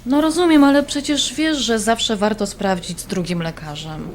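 A woman's voice: fairly high pitch, moderate pace, a little hiss or hum, natural voice. A woman playing a caring, hesitant wife in a naturalistic audio drama, coaxing her husband. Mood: hesitant, worried. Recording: faint clinking restaurant background. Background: cutlery and dishes clinking.

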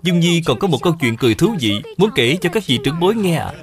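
A man's voice: a little high, very animated, fast talker. A man voicing a polite, earnest little boy in an educational cartoon, speaking formally and respectfully. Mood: polite, eager. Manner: respectful, formal beyond his years. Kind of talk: dubbed educational cartoon acting.

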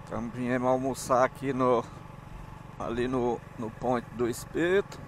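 Male motovlogger, northeastern Brazilian accent, folksy casual chat at a slow pace, mid-range pitch, recorded outdoors while riding. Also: little pitch movement. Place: outdoors, riding a motorcycle on town streets. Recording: motorbike and street noise. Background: engine and traffic sounds.

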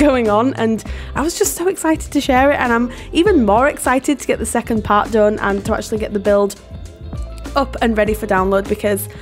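A woman whose voice has gone hoarse talks in a casual, rambling voiceover and keeps apologising with little laughs. Her voice sits fairly high. Faint music plays underneath. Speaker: game builder and video host. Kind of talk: casual chatty voiceover over a speed build. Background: soft background music.

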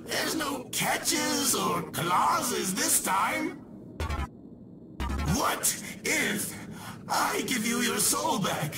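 An actor voicing a scheming, short-tempered shadowy spirit who takes souls, theatrical and mock-polite, in a video game villain style. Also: male voice, extremely high pitch, expressive, extremely slow, music in the background, full character voice. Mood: exasperated pleading.

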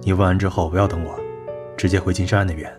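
A man voices a gruff, blunt executive who scolds and protects in the same breath. His voice sits low and commanding over a swell of drama music. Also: level tone, fast talker, lightly acted. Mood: reassuring. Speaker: company boss. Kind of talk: audio drama acting. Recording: studio voice with music bed.

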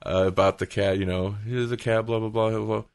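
A man's voice: fairly low pitch, fairly even intonation, fairly quick, creaky, darkish tone, smooth, no echo, clean studio sound. A man hosting a podcast, talking casually in a rambling, conversational way as he retells things. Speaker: podcast host.

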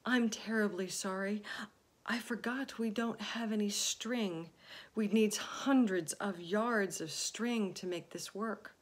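Casting: woman doing a careful, soft-spoken boy for a storybook, slow and breathy. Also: mid-range voice. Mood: apologetic. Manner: hesitant, careful. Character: thoughtful, hesitant young boy.

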